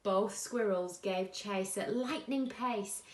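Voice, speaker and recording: A woman reading a children's rhyming picture book aloud as a bedtime story, expressively and with dramatic pauses. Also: mid-range voice, a little mellow. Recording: echoey room.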